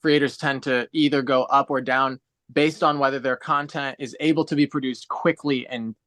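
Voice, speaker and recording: A man in his twenties talks on a video call and explains his thinking at length in an earnest, steady way. His voice sits at a middle pitch. The sound is dry, with no room echo. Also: moderate pace. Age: 20s. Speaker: guest on a livestream. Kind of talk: casual video-call chat.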